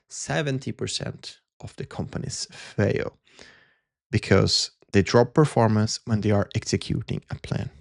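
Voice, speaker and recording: A Brazilian-accented man whose voice has a gravelly, fry-tinged edge. He hosts a solo talk in an earnest, reflective tone, pausing to find his words in a second language. The sound is dry and close. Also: medium pitch, darkish tone, no echo. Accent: Brazilian Portuguese. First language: Portuguese. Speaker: podcast host.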